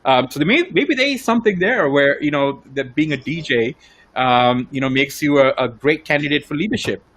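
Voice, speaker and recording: A man's voice: fairly high pitch, expressive, fairly quick, fairly bright tone. A man from Sri Lanka, chatting casually as a show co-host. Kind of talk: casual conversational interviewing.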